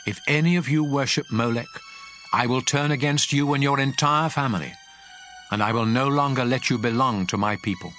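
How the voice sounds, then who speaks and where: A man's voice: mid-range voice, normal speed, fairly bright tone, close to an everyday voice. A man playing God as a stern lawgiver, solemn and commanding in a dramatized scripture reading. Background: music.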